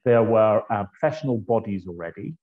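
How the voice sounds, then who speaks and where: A Scottish man in his fifties from the northeast of Scotland, chatting as a guest over a video call with an earphone mic and telling his story in a relaxed, anecdotal way. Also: fairly low pitch, brisk. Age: 50s. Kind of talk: conversational interview. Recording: dull lo-fi video call audio.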